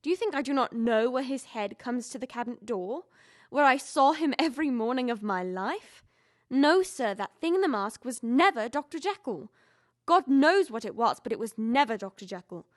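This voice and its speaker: A woman's voice: on the high side, lively intonation, normal speed, lightly acted. A young woman playing a loyal, frightened longtime household servant in a Victorian audio drama, earnest and deferential, telling things with emphasis. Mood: agitated, insistent. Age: young adult.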